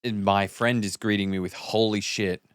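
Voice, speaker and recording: Low, smooth-voiced man talking scripts. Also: steady tone, moderate pace, no room sound, clean studio sound. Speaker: podcast guest reading and breaking down scripts. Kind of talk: casual script discussion with acted-out example lines.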